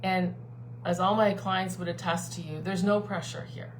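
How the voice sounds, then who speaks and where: A woman's voice: on the low side, normal speed. Earnest life coach woman, humming background. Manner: earnest, persuasive, repeats key phrases. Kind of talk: live-streamed talk to a online group. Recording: steady background hum.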